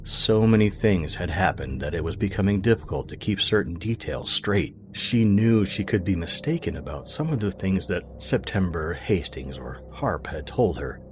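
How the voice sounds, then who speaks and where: Man acting as measured storyteller, very deep, expressive, suspenseful audio drama. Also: slight background noise. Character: measured, suspenseful male narrator of a mystery. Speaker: narrator. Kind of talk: dramatic scripted story narration.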